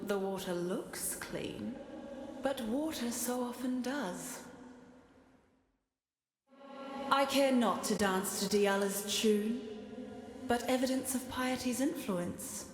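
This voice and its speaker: A woman's voice: a little high, slow pace. A woman playing an arrogant, vengeful fire goddess in a video game, speaking in a haughty, sardonic, theatrical way. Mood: disdainful. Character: vengeful, arrogant fire goddess bound in a sword, mocking and bloodthirsty. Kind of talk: scripted video game character voice lines. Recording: roomy echo, clear background static noise.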